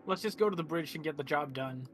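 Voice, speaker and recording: A man's voice: fairly high pitch, fast talker. A man talking casually and bluntly as a player discussing moves in an online tabletop game.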